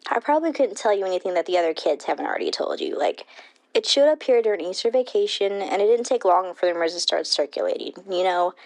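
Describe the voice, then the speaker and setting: A woman's voice: mid-range voice, animated, quick pace, a little mellow, natural voice, poor audio. An actress playing a sincere, chatty teenage girl, an arcade regular, talking in slangy interview style. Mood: sincere, wistful. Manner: slangy, peppered with "like" and "you know". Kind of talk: interview in an audio drama.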